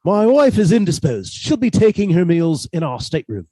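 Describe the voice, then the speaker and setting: A man's voice: high-pitched, very animated, moderate pace, dark tone, no room sound. A man playing a mannerly, henpecked professor who is secretly a scheming killer, with formal old-fashioned phrasing, in a radio suspense play. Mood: guarded, polite. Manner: formal, old-fashioned turns of phrase, hesitant when flustered.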